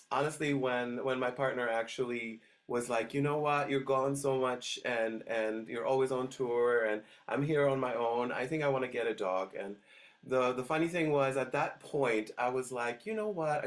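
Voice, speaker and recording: A man's voice: medium pitch, normal speed. A middle-aged man from Switzerland, a dog trainer guest telling stories in a casual interview, hesitant and full of restarts. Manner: hesitant, many restarts and fillers.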